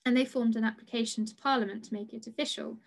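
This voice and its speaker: A young woman gives an online lecture from a small room, her slightly high voice picked up by a webcam mic. She talks hesitantly, stopping often with fillers and restarting her sentences. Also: fairly even intonation, fast. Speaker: PhD researcher giving a talk. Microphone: video call webcam.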